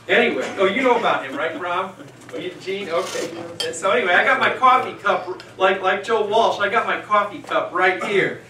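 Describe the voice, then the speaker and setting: A man's voice: high voice, distant mic, noisy background. A man moderating a meeting and giving an off-the-cuff rebuttal speech in a restaurant, rambling and chatty with lots of fillers and asides. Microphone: handheld mic set down, voice carrying from a distance. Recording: echoey restaurant room, picked up from afar.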